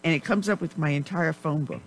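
A woman hosting a talk radio show, chatting in a rambling way with frequent laughs while her voice is going hoarse. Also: sits low, a little fast, a little hiss or hum. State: losing her voice. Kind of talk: casual talk radio chat.

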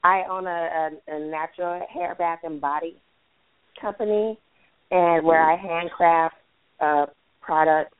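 A woman's voice: lowish voice, fairly even intonation, unhurried, clear, resonant tone, dry recording. A woman from Texas, a business owner, answering interview questions hesitantly with fillers, a little stuffy from allergies. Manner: hesitant, soft-spoken with fillers and light laughs. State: mild allergies. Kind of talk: phone interview. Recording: tinny dry phone line.

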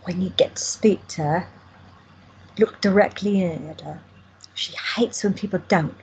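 A woman's voice: on the low side, measured pace, darkish tone, highs cut off, a little hiss or hum. A woman playing a teasing, affectionate young woman in an audio drama. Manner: playful, teasing.

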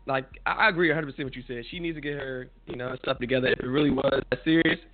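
A man's voice: medium pitch, fairly even intonation, very fast, faint music behind. A man co-hosting a casual podcast, bantering in a laid-back way while losing his voice. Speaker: podcast co-host. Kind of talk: casual chat. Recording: tinny phone-like sound.

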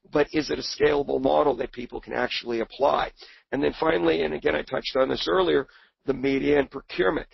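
A man presents in a webinar, his voice hoarse and raspy from a cold, with a heavy gravelly edge and a breathy rasp. He rambles and repeats words as he searches for his point. The sound is dull and muffled, with no room echo. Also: mid-range voice. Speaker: book co-author presenting on a webinar.

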